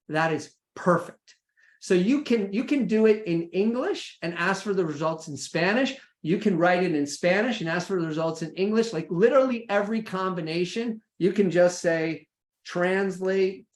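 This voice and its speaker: An American man presenting an informal, practical session in a conversational way, often checking in with his listeners. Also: sits high. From United States. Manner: conversational, checks in with "right?" and "okay?". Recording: echoey room sound.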